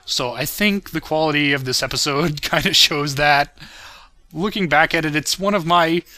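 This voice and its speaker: A man's voice: on the high side, normal speed, bright, forceful tone, no room sound. A man giving casual, self-deprecating commentary on his own parody series, chuckling and swearing as he goes.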